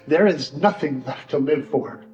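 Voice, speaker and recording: A man playing a despairing, bitter betrayer in a church Passion play, speaking with slow, dramatic anguish. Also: fairly high pitch, a little mellow, natural voice. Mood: hopeless despair. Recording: echoey church hall, dull sound, music.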